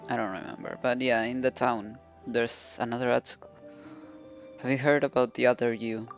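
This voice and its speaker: A man's voice: medium pitch, fairly even intonation, normal speed, highs cut off, faint music behind. A man streaming a game, giving casual playthrough commentary and reading dialogue aloud.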